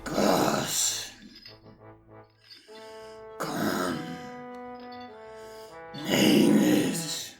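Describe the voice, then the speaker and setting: Audio drama villain: a chained, menacing immortal, extremely slow, gravelly growl, halting fragments. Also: male voice, deep voice, expressive, music in the background, full character voice. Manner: halting single words and fragments. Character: imprisoned male Eternal, chained war-obsessed brother, halting and menacing.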